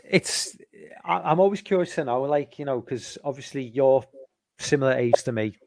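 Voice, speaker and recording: A man in his forties with a broad Liverpool accent hosts a loose online chat. He rambles, trips over and repeats his words, and speaks at an everyday pitch into a dry, close mic on a call. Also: normal speed, vocal fry, darkish tone, dry recording. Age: 40s. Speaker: stream host. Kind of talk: casual chat.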